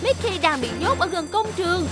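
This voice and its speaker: A woman's voice: extremely high pitch, lively intonation, brisk, over loud music. A woman voicing a caring, urgent rescue team dispatcher in a Vietnamese cartoon dub, exclamatory and efficient. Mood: urgent, reassuring.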